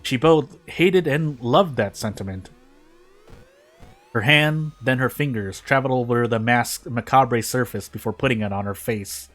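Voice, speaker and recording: A man's voice: mid-range voice, a little slow, a bit bright. Male story reader, mid-range voice, dramatic fan fiction narration with music underneath. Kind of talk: dramatic reading of prose fiction aloud. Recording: music behind the voice. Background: background music.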